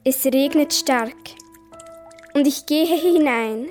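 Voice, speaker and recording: Young girl, ten to twelve, high voice, slow pace, scripted radio story reading. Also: music in the background. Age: child, 10 to 12. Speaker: young workshop participant reading own story.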